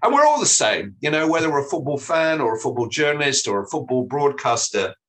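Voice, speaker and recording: A middle-aged man from England, a football commentator, telling stories in a relaxed interview with restarts and self-deprecating humour. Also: mid-range voice, brisk. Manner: chatty storyteller, frequent restarts and self-deprecating laughs. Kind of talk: relaxed anecdotal interview talk.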